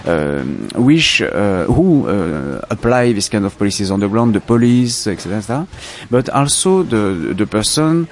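A man from France with a French accent, a filmmaker answering interview questions in a halting, reflective way as he searches for words. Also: lowish voice, very animated, a little hiss or hum. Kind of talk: festival interview.

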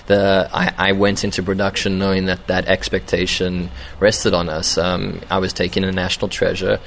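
Film director type, low flat gravelly voice, slow thoughtful answers full of ums, faint hum behind. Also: male voice. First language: English. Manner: thoughtful, hesitant with many ums and repeated starts. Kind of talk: interview answers. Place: event venue.

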